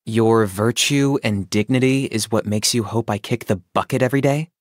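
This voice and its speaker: An actor voicing a stoic, businesslike young male saurian hunter in a video game, speaking in a measured, matter-of-fact deadpan. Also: lowish voice, no room sound, studio quality, natural voice. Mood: calm, dry. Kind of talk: scripted video game character monologue.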